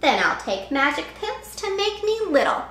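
A woman voicing a calm, clever young boy who answers cheerfully. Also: very high voice, moderate pace, voice pushed up, heavily acted. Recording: echoey room.

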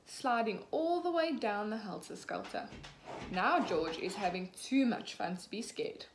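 A young woman in her twenties, a teacher, reading a picture book aloud to children slowly and clearly, acting out the characters. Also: mid-range voice, animated. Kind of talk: children's storybook read-aloud. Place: small room at home, indoors. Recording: echoey room, faint background hiss.

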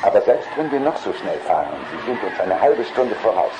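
Lively, polite talking car. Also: male voice, lowish voice, brisk, noisy background. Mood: concerned. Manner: precise, formal, caring. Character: polite, loyal, concerned talking computer car. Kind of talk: German radio play acting.

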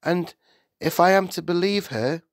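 Man putting on an earnest, resolute lady schoolteacher, higher pitch. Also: measured pace, dry recording, studio quality. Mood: composed, earnest. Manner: earnest, polite, firm. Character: slim young woman schoolteacher, polite but resolute.

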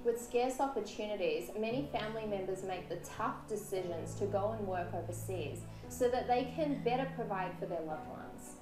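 A woman's voice: medium pitch, moderate pace, hardly any pauses. A young woman presenting a scripted video narration in an earnest, polished way. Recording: roomy playback of video with music. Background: clear background music.

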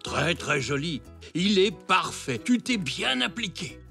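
An actor voicing a patient, kindly older grandfather zebra in a dubbed cartoon, with a gentle, encouraging manner. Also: high voice, very animated, slow pace, vocal fry, music in the background, heavily acted. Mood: praising. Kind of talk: French dubbed cartoon acting.

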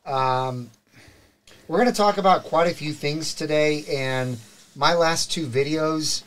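A man's voice: fairly high pitch, a little slow, a bit bright, slight background noise. A middle-aged man hosting a live car podcast, greeting viewers and reading sponsor plugs in an upbeat way. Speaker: show host. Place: studio set with desk mics. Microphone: desk mic on boom arm.

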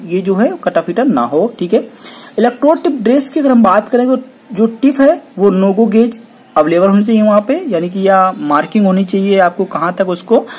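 A woman giving a technical lesson on welding. She reads each item off a checklist and explains it, with a steady "okay" after every point. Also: medium pitch, breathy edge. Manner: repeats "ठीक है" after each point, reads English terms then explains in Hindi. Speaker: welding instructor.

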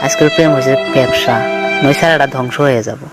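A man's voice: mid-range voice, fairly even intonation, fast talker, loud music behind the voice, natural voice. A man voicing a bitter, jilted young man in a cartoon, complaining and admonishing in an eastern Bengali dialect. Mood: bitter, aggrieved. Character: jilted, bitter young man cautioning his lovesick friend. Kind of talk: cartoon voice acting.

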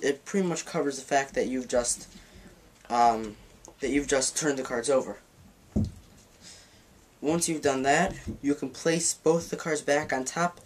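A man teaching a card trick in a casual tutorial, rambling and correcting himself as he handles the cards at a table in a dim room. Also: mid-range voice. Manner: rambling, self-correcting, demonstrating while talking. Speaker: magic teacher.

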